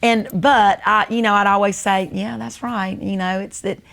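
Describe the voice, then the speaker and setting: A woman's voice: medium pitch, steady tone, moderate pace, vocal fry, fairly bright tone. An older woman with a Southern US accent, chatting casually and reminiscing in a folksy storytelling way. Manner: folksy storytelling, acts out remembered family dialogue. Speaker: host.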